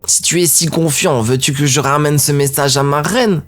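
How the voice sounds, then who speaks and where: A man doing a sneering high-pitched prisoner. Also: normal speed, fairly bright tone. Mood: mocking. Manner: taunting, sneering, then begging in panic. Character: cocky male dark eldar prisoner, mocking.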